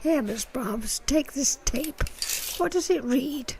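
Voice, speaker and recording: A woman's voice: high-pitched, expressive, takes it slowly, gravelly, noisy background, heavily acted. A woman playing a pompous, easily affronted middle-aged saleswoman in a radio sitcom, mock-refined with coarse slips. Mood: gossipy. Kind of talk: radio play sitcom comedy acting.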